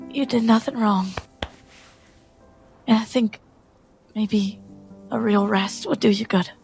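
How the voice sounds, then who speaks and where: A woman playing a gentle, earnest young woman who is a reluctant heroine. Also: on the high side, fairly even intonation, takes it slowly, gravelly, faint music behind, rough recording.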